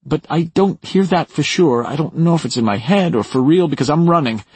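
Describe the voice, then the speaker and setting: A man voicing a haunted, defensive woman in an audiobook, urgent and halting, with a raised husky voice. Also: on the high side, expressive, a little mellow, no room sound, voice pushed up. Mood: terrified, urgent. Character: haunted, defensive woman recounting a traumatic night. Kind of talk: audiobook character dialogue.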